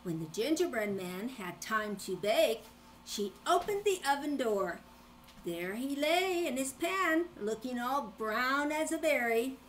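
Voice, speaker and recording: An older woman, a grandmother, reading a children's story aloud in an animated style. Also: sits high, unhurried, a little hiss or hum, low-quality recording.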